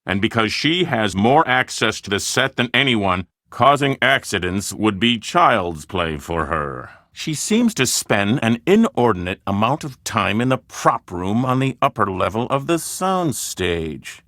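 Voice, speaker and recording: A man's voice: lowish voice, sing-song, a little slow, few pauses, a bit bright, no echo, studio quality. A man playing a scheming, self-important film producer in a video game, speaking in a formal, emphatic, theatrical way. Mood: conspiratorial, insistent.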